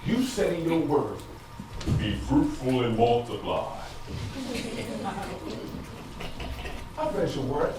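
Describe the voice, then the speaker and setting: An actor playing an anguished, frustrated husband in an emotional theatrical stage monologue that moves between quiet confiding and loud outbursts. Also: male voice, mid-range voice, very animated, unhurried. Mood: angry, accusing. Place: stage in a large indoor room. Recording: echoey stage, distant mic, noisy.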